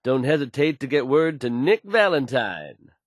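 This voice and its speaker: A man's voice: medium pitch, big pitch swings, moderate pace, dry recording, studio quality, lightly acted. An actor playing a confident, folksy male radio DJ in a video game, delivering news in suave showman's patter. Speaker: radio DJ character.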